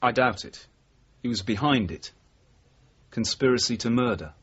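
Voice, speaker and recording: A British actor playing a dogged, level-headed detective sergeant in a radio drama, terse and measured as he confronts his boss. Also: mid-range voice, fairly bright tone, slightly rough. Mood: firm. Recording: dull, muffled old radio recording.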